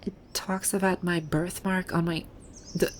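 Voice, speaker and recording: Woman acting a haughty game boss lady, bossy but flustered n stammery, slow lowish voice, birds in the background. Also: flat delivery, a little hiss or hum, lightly acted. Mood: softened, wondering. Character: haughty, flustered female video-game boss brought to life. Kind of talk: ASMR roleplay audio drama.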